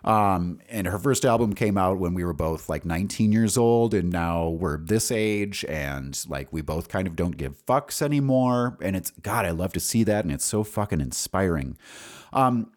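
A man in his forties with a deep voice talks as a podcast host in a loose solo monologue. His pitch moves around a lot as he rambles candidly, casual and unscripted. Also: lively intonation, normal speed. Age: 40s. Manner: rambling, candid, salty-tongued.

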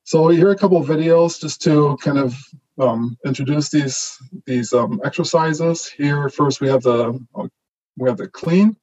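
A man whose first language is German, with a mixed German-Canadian accent, giving a relaxed online lecture full of fillers and restarts. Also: fairly high pitch, unhurried, lo-fi sound. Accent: German-Canadian mix. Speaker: guest lecturer, associate professor.

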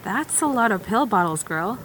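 A woman's voice: medium pitch, lively intonation, normal speed, fairly bright tone, a little hiss or hum, natural voice, rough recording. A woman playing a tough, blunt female survivor with a curt, scornful way of talking in an audio drama. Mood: scornful, wary.

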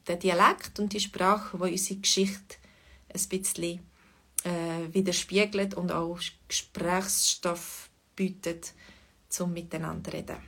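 A woman with a Swiss German accent, a Bernese and Lucerne mix, talking through a relaxed lesson with thoughtful pauses and fillers. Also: medium pitch. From Switzerland.

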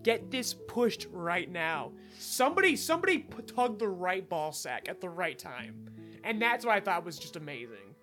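A woman chatting casually as a podcast co-host in an excitable, emphatic, heavily sweary way. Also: on the low side, normal speed, soft background music. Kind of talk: casual chat, fan discussion.

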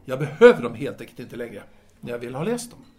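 An actor playing a candid, self-assured male French intellectual in an audio drama, speaking formally and deliberately with hesitant pauses. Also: medium pitch, sing-song, a little mellow. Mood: reflective.

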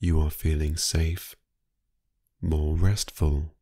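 Male sleep-meditation narrator, low-pitched and slightly husky, soothing and hypnotic, unhurried phrasing. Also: no echo, clean studio sound. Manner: calm, soothing, unhurried, with long pauses.

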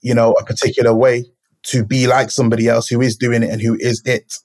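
A man's voice: medium pitch, level tone, fast talker, a little mellow. A man, talking reflectively and searching thoughtfully for his words. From Manchester, England. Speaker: poet, guest. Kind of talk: reflective conversation.

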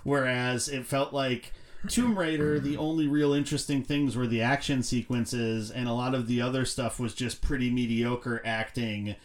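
A man's voice: mid-range voice, steady tone, normal speed. A man chatting casually as a panelist on a film discussion podcast.

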